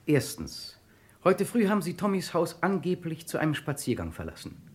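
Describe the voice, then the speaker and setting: An actor playing a methodical police detective in a German crime radio play, terse and commanding. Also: male voice, a little high, wildly swooping pitch, moderate pace, breathy edge, full character voice. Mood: pressing, stern. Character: methodical, insistent male police detective leading a murder case.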